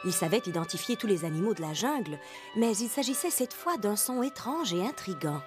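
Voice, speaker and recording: A woman reading scripted narration for an animated children's story. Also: medium pitch, fairly quick, loud music behind the voice, lightly acted.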